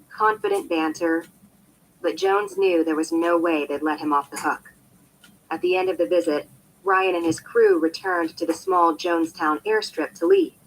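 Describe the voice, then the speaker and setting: A woman reading a scripted nonfiction audiobook in an expressive, emphatic storytelling manner. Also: on the low side, creaky. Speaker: audiobook narrator. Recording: poor amateur copy, faint background noise.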